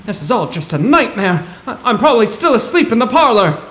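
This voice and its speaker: An actor playing a bewildered, bookish poetry professor in a 1930s-style radio drama, with mannered old-fashioned phrasing. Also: male voice, high-pitched, big pitch swings, fairly quick, a bit bright, reverberant, a little hiss or hum. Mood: horrified disbelief.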